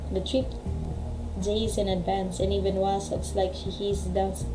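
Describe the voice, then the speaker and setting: A woman's voice: medium pitch, little pitch movement, unhurried, poor audio. A woman doing a let's play, reading visual novel narration aloud with casual asides. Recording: music playing behind the voice. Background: music.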